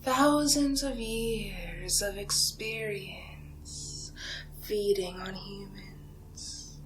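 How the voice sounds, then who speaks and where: A woman playing an ancient, seductive female vampire in a whispered ASMR roleplay, taunting her prey in a breathy, theatrical way with drawn-out pauses. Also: medium pitch, rough recording. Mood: proud, threatening. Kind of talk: ASMR roleplay monologue, soft-spoken and whispered. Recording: music behind the voice. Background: music.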